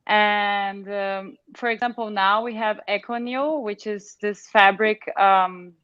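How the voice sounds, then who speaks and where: A woman's voice: mid-range voice, fairly even intonation, takes it slowly, fairly bright tone, clear, resonant tone, dry recording, highs cut off. A woman with a Brazilian accent, an international model speaking as a panelist in a casual video call discussion, chatty and enthusiastic with many fillers.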